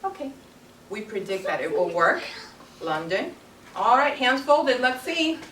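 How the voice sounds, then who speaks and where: A woman's voice: fairly high pitch, lively intonation, measured pace, fairly bright tone. A young woman teacher reading a story aloud to young children, stopping to ask questions and echo their answers. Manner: prompting with questions, echoing pupils' answers. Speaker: primary school teacher. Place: carpeted classroom full of young children. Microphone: distant camera microphone. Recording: echoey, distant, noisy classroom pickup.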